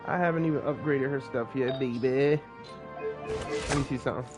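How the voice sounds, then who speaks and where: A man's voice: mid-range voice, fairly quick. A man giving casual, playful gameplay commentary as a streamer, talking in a slangy way. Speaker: gaming streamer. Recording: game music behind voice.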